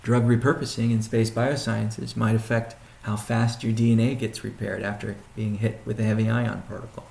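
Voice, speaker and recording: A man speaking as a chief executive on a conference call, answering questions in a halting, deliberate way with pauses and restatements, in a roomy recording. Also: lowish voice, flat delivery, gravelly, a little mellow. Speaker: company CEO hosting a weekly conference call. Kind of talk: question-and-answer business briefing. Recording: roomy, echoing sound.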